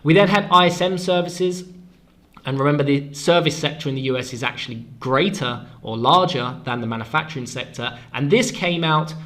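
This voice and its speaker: A man with a slightly stuffed-up voice from a cold, hosting a weekly currency market forecast in a brisk, explanatory way and stressing key words. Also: a little high, fairly bright tone. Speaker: forex analyst and show host.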